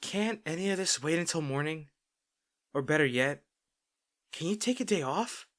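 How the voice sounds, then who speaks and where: Man playing a worried boyfriend with expressive swings. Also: a little high, moderate pace. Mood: concerned, serious. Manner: earnest, pleading, emphatic, with sighs. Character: worried, caring boyfriend pleading with overworked partner. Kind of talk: intimate roleplay audio drama.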